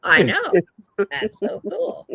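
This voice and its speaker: An older American woman hosting a livestream, chatting in a rambling, excitable way. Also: sits high, slow, creaky. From United States. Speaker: livestream host. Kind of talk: casual chat. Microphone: streaming setup.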